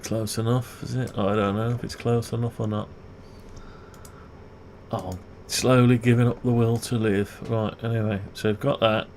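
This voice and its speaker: Man, low gravelly voice, muttering tutorial, thinking aloud, self-questioning, background noise with keyboard typing. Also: level tone, normal speed, darkish tone. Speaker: video creator demonstrating CAD design. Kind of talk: unscripted thinking aloud while working.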